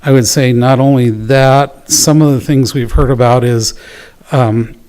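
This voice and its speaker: A man presenting at a public town council meeting, talking in a measured, earnest way with fillers and pauses. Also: medium pitch, steady tone, a little mellow, clean tone. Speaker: youth program presenter.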